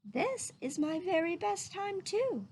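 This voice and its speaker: A woman voicing a gentle, patient mother raccoon telling a tale in a storybook, sweet and soothing. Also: very high voice, big pitch swings, slow, a little hiss or hum, voice pushed up, heavily acted. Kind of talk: storybook character voice.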